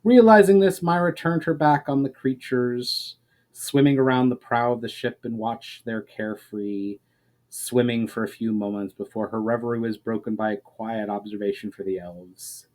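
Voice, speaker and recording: A man's voice: medium pitch, normal speed, darkish tone, rough recording. A man narrating a fantasy story as a scripted dramatic reading.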